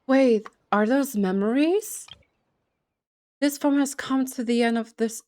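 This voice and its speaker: A woman's voice: high-pitched, slow pace, darkish tone. A woman game streamer giving casual playthrough commentary and reading dialogue aloud, musing and reactive.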